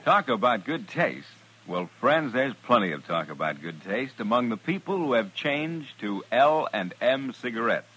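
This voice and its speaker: A man's voice: medium pitch, a bit bright, breathy edge, poor audio. A man delivering a scripted 1950s radio commercial as the announcer, on an old recording.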